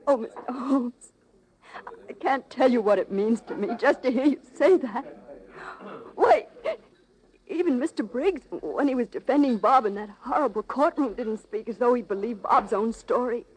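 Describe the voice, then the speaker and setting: Actress doing upset young fiancee, old radio melodrama, highish voice, pleading and earnest. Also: female voice, moderate pace, close to an everyday voice, poor audio. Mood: pleading, insistent. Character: distressed, loyal young fiancée of a condemned man.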